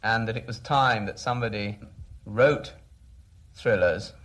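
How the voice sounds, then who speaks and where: A man's voice: lowish voice, measured pace, a bit bright. A man interviewing someone in conversation, phrasing his questions tentatively and in a roundabout way.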